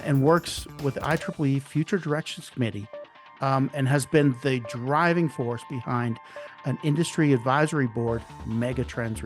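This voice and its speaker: A man hosting a podcast, talking in a relaxed, rambling way with restarts and fillers. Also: medium pitch. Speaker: podcast host. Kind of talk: casual interview chat.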